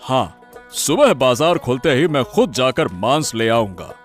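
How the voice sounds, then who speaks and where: An actor playing an excitable, doting older village father-in-law in an animated moral story. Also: medium pitch, a little slow, faint music behind. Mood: bossy, contented.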